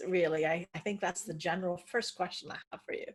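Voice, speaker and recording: Breathy woman host, gently probing. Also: lowish voice, fairly even intonation, fast talker. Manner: hesitant, many ums, gently probing. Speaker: podcast host. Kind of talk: interview conversation.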